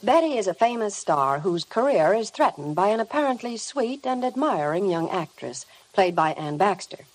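A woman's voice: mid-range voice, very animated, moderate pace, few pauses, low-quality recording. An American woman reporting on 1950 radio, reading scripted news and ads in a gushing, promotional manner.

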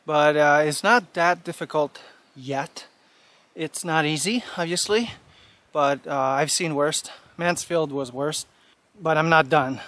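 A man's voice: a little high, a bit bright, no echo. Chatty man hiking uphill. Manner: cheerful, chatty, many fillers like "you know" and "uh". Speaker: hiking vlogger. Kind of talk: casual talk to camera while hiking. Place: outdoors on a mountain trail.

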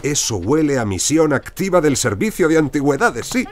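An actor playing a genial, courteous gentleman archaeologist in a Spanish audio drama, with a hearty manner. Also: a little high, sing-song, normal speed. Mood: jovial. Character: genial, gossipy gentleman archaeologist, office-bound colleague.

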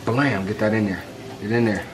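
A man's voice: fairly low pitch, fairly even intonation, moderate pace. Young man, playful hype cooking vlog voice, roomy kitchen echo, noise behind. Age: young adult. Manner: hyped, joking, talks to viewers with sound effects. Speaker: cooking partner. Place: home kitchen, indoors. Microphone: camera mic across the kitchen. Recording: echoey kitchen with background noise.

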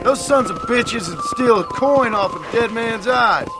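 A man's voice: very high voice, a little slow, loud music behind the voice. A man with an American Western accent playing a rugged, cynical but courteous outlaw cowboy in a video game. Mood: sardonic. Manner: dry, plain-spoken. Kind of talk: dramatic video game acting.

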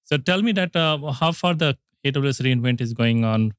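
A man's voice: medium pitch, expressive, normal speed, dry recording, studio quality. A man in his forties hosting a business interview, asking loose, conversational questions with filler words. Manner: conversational, loose grammar, "you know" fillers. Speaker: podcast host, company co-founder. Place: conference podcast studio set, indoors. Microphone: studio mic on boom arm, wearing headphones.

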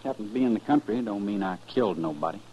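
An actor playing a defiant, evasive young drifter in a 1950s radio western, curt and defensive. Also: male voice, on the low side, lively intonation, rapid-fire, warm tone, natural voice. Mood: surly. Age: young adult. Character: defiant, evasive drifter of twenty-five, arrested suspect. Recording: muffled, noisy 1950s radio recording.

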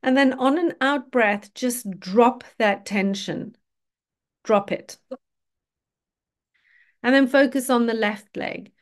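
A woman yoga teacher leading a guided relaxation meditation in a gently directive, instructional way. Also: on the high side, slow pace, pauses often.

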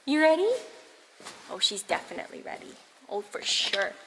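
A woman's voice: mid-range voice, sing-song, moderate pace, a crowd in the background, poor audio. Chatty young woman filming at the barn. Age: young adult. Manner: bubbly, chatty, laughs often. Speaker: vlogger. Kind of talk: casual vlog chat. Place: horse barn and indoor arena, evening. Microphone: handheld action camera.

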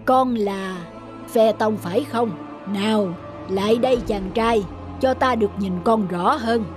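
A woman voicing a majestic, protective father sun god in an animated fairy tale, solemn and admonishing in manner. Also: fairly high pitch, measured pace, close to an everyday voice. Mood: tender, explaining. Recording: music behind the dialogue.

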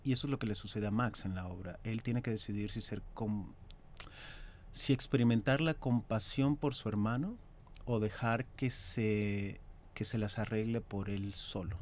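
A man in his thirties with a Mexican accent, an actor talking as a guest in a radio studio, measured and reflective. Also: fairly low pitch, fairly even intonation, darkish tone, a little hiss or hum. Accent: Mexican Spanish. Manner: measured, reflective, speaks in long considered phrases. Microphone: desk mic on stand.

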